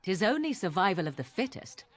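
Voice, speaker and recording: A woman's voice: medium pitch, big pitch swings, fairly quick. An actress playing a cynical, haughty woman companion in a video game, disdainful, with archaic phrasing.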